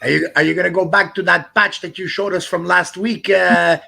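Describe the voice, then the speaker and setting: A man's voice: sits high, fairly even intonation, brisk, creaky, pushed and bright. A man chatting casually on a live stream, friendly and asking many questions. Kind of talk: casual chat.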